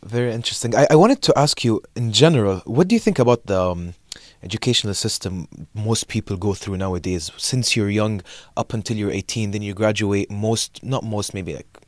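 A young man from Saudi Arabia co-hosting a podcast, asking casual questions in a hesitant, halting way with many fillers. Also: on the low side, no room sound, clean studio sound. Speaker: podcast co-host.

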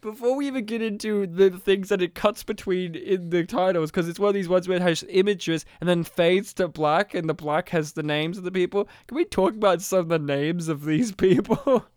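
A man with a high, crisp voice chats casually on a movie podcast. He laughs often and plays out his own jokes. The sound is dry, close and free of room echo. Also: normal speed, hardly any pauses, darkish tone. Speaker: podcast co-host reviewing a movie. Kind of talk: casual chat.

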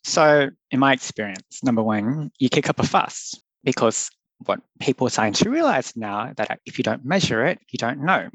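A man's voice: mid-range voice, lively intonation, normal speed, fairly bright tone, no echo, low-quality recording. A young man, a public health doctor, speaking earnestly and thoughtfully in a podcast interview, laughing now and then. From Australia. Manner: thoughtful, earnest, laughs often, loose phrasing.

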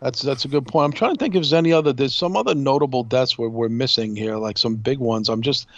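A man's voice: mid-range voice, fast talker, no echo, dull audio. A man co-hosting a podcast in casual talk, speaking emphatically and repeating himself for effect. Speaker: podcast co-host.

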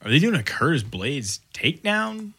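A man co-hosting a podcast, chatting about fights and pushing back loudly in arguments. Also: a little high, very animated, moderate pace, husky. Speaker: podcast co-host. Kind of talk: casual chat about fights.

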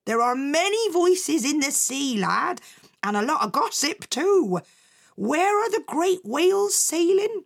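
A woman voicing a bold old pirate mother who talks loudly in a high, whooping voice. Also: sing-song, measured pace, gravelly, full character voice. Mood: dreamy.

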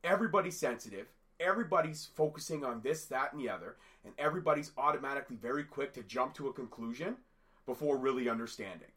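A man co-hosting a casual podcast, raspy from a cold, talking at length in an animated, rambling way. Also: medium pitch, quick pace. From Canada. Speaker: podcast co-host. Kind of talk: casual wrestling podcast chat.

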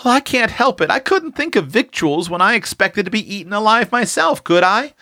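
A man voicing an excitable, pleading farm girl in a storybook, exclamatory and plaintive. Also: high voice, brisk, no room sound, clean studio sound, voice pushed up, exaggerated voice.

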